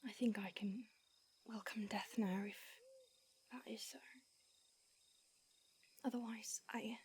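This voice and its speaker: A woman voicing an ancient female vampire who mentors her fledgling, speaking in a hushed, breathy whisper with hesitant restarts. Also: medium pitch, steady tone, slow pace, slight background noise, lightly acted. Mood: remorseful, tender. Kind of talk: audio roleplay.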